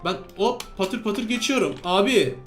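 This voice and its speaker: High-pitched man commentating a game. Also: normal speed, over music. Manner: bantering, exclamatory, repeats instructions. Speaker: gaming video host playing a character named Steve. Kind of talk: excited game commentary with a co-player.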